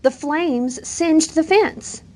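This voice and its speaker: A woman reading a children's bedtime story aloud with expressive, gentle storytelling. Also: high voice, extremely slow. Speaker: reader.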